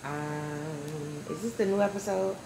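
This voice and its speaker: A woman's voice: low voice, measured pace, creaky. A woman in her twenties with an American accent, chatting casually and in slang for her vlog on a handheld camera. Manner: informal, slangy. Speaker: vlogger. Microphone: handheld camera at arm's length. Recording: noisy handheld camera recording.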